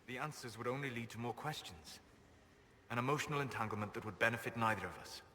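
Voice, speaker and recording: A man playing a reserved, solemn elven mage in a fantasy game, speaking formally and with measured restraint. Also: mid-range voice, sharp tone, big-room sound, slight background noise, lightly acted. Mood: guarded, firm. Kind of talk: dramatic fantasy game dialogue.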